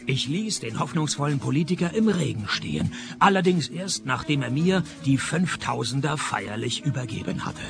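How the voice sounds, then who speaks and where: An actor in a radio play playing a cynical private detective who narrates and questions with dry sarcasm. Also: male voice, medium pitch, very animated, moderate pace, creaky, few pauses, over music. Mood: wry narrating. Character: sardonic, cynical male private detective narrating his case.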